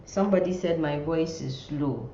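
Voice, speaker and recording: A woman with a very low, mellow voice teaches an informal online class. She talks slowly and haltingly, often repeating herself. The sound is muffled and echoey, picked up by a computer microphone in a room. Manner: halting, repeating words and phrases. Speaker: nursing lecturer. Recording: muffled, roomy sound with faint noise.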